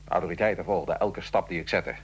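A man acting in a radio drama as a defiant, wry nineteenth-century Italian revolutionary prisoner, telling his story gravely and with irony. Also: deep voice, fast talker, a little hiss or hum, natural voice. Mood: grave, wry recounting.

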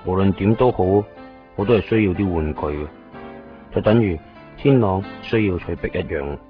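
A man's voice: low voice, normal speed, soft background music, lightly acted. A man acting a brooding, self-questioning young man in a 1990s Cantonese radio drama monologue, with a Hong Kong accent. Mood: wistful, musing. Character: brooding young man musing on his own emptiness. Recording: dull lo-fi 1990s radio recording.

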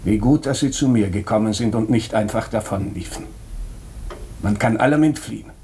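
An actor playing an earnest, probing doctor in a German radio drama, speaking with deliberate, morally insistent urgency. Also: male voice, fairly low pitch, a little mellow, a little hiss or hum, natural voice. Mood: grave, calmer.

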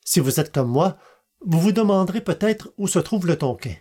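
A man's voice: sits high, expressive, normal speed, warm tone. A man hosting a podcast, reading scripted historical narration aloud. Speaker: podcast host. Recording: long reverberant hall echo.

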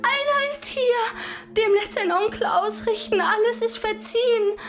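An actress playing an entranced spirit medium relaying messages from the dead in a radio drama, halting and theatrical. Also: female voice, very high-pitched, over loud music. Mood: entranced, ecstatic. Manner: halting, theatrical trance delivery. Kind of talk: German radio drama acting.

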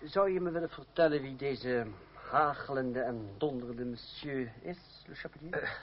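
An actor playing a witty, courteous young French fencing master in a radio play, formal and dryly ironic. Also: male voice, mid-range voice, moderate pace, close to an everyday voice. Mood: dryly amused. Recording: muffled old radio play, faint noise.

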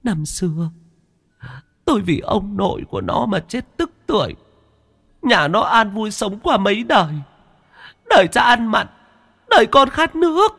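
A man voicing a sorrowful female ghost who wails in a high, tearful voice. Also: animated, unhurried, vocal fry, long pauses, fairly bright tone, husky, long reverb tail, full character voice. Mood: tearful wailing.

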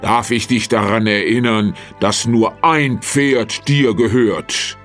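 A man playing a big, rich, spiteful peasant in a fairy tale, scolding in a gruff, menacing way. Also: fairly low pitch, fairly even intonation, slow, fairly bright tone, faint music behind. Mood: indignant. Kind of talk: acted fairy-tale audio story dialogue.

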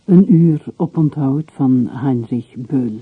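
A man's voice: mid-range voice, slow pace, slightly rough, no room sound, narrow, tinny audio. A man announcing the credits of a radio play in a scripted style. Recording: thin, band-limited old radio recording.

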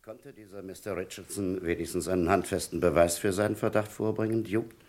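An actor playing a cautious police superior in a German crime radio play, authoritative, brisk and sceptical. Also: male voice, sits low, steady tone, a little mellow. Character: cautious male police superior giving orders.

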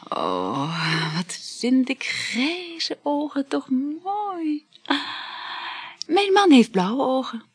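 An actress in a radio play voicing a dreamy, flirtatious married woman, speaking in a breathy, languid, halting murmur. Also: high voice, huge pitch swings, full character voice. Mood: dreamy, affectionate. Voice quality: breathy, husky. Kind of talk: intimate radio play acting.

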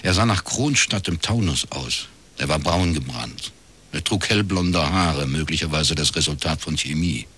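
A man's voice: very deep voice, moderate pace, bright, forceful tone, breathy edge, heavily acted. A man playing a cynical middle-aged private detective who narrates dryly and laconically in a radio crime drama. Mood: sardonic. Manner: dry, laconic, short clipped sentences.

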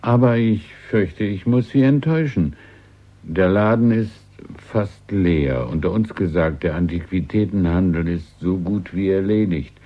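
Old antique dealer, really low husky voice, polite n wistful, dull old radio play sound. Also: male voice, unhurried. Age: older. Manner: gentle, wistful, hesitant, confiding. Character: courteous, melancholy old antique shop keeper. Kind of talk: German radio play acting.

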